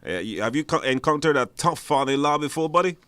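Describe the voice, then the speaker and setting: A man's voice: on the high side, lively intonation, quick pace, sharp tone, no echo. Man hosting radio, dry studio sound. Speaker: radio call-in show host. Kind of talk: casual live call-in hosting.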